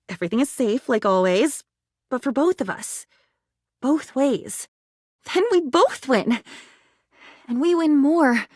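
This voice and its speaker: A woman voicing an excitable, naive living diary in a video game, chatty and rambling, eager for friendship. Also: fairly high pitch, dry recording, clean studio sound, heavily acted. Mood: tender, hopeful. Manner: rambling, gushing, expressive, drifting off on tangents. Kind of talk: animated game character dialogue.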